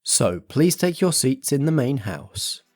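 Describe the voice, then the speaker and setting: A man delivers a scripted theatre-style introduction as the show's announcer. His voice sits at a middle pitch, with music faintly behind it. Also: very animated, takes it slowly, darkish tone.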